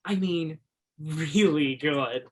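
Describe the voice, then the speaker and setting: A man's voice: fairly high pitch, drawn-out words. An actor in a one-act stage play playing an earnest, shy young Alaskan backwoodsman, speaking a little hesitantly. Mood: admiring.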